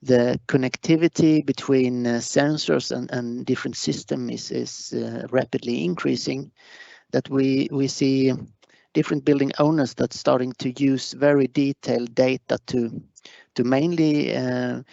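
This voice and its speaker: A man with a Swedish accent giving a technical online presentation, halting and hesitant, often repeating words as he goes. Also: medium pitch, steady tone, gravelly, darkish tone, no echo. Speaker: conference presenter. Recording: muffled online webinar audio.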